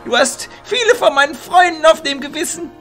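A man's voice: very high voice, expressive, a little fast, fairly bright tone, faint music behind, heavily acted. A man playing a spiteful, arrogant teenage boy wizard in a fantasy audio drama, mocking and contemptuous.

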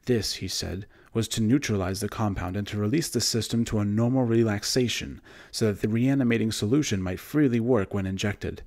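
Guy reading a horror audiobook, lowish voice, measured and kinda formal. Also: a little mellow. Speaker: audiobook narrator and voice actor. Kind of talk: scripted narration of a horror story.

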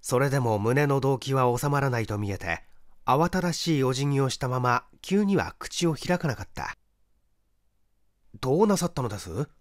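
A man's voice: mid-range voice, moderate pace, no room sound, studio quality. A man reading a mystery story aloud as a dramatic scripted narration. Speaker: audiobook reader, stage actor.

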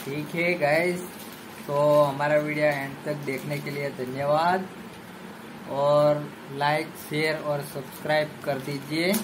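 A man's voice: on the high side, little pitch movement, measured pace. A man in his thirties talking playfully with his young son in a casual family vlog, filmed on a handheld phone at home. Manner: playful, teasing, chatty. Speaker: vlogger and father. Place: small home room indoors. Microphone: phone held at arm's length. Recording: phone recording with clear background noise.

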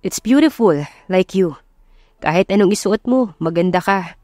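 A woman's voice: medium pitch, animated, normal speed, dark tone, no room sound, natural voice. A devoted young Filipino fiancé, played by a woman, speaks tenderly in a romance audiobook. The sound is dry and close. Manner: tender, mixing English into talk. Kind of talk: audiobook character acting.

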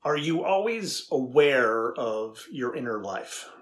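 A man acts as a middle-aged male researcher in a dramatized interview. His voice sits at an average pitch. He is earnest and curious, putting each question deliberately. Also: animated. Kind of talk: dramatized transcript reading.

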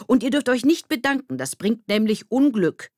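Belehrender, eifriger Junge von einer Frau gespielt. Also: female voice, on the high side, big pitch swings, moderate pace, darkish tone, dry recording, clean studio sound, voice pushed up. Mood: instructive, emphatic. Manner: emphatic, instructive. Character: boy coaching fellow young actors, earnest know-it-all.

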